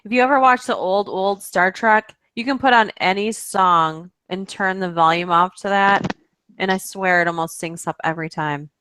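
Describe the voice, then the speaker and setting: A woman's voice: mid-range voice, normal speed, a bit bright, clear, resonant tone, no room sound, rough recording. A woman chatting as a guest on a stream, earnest and thoughtful. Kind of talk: casual group chat on a stream.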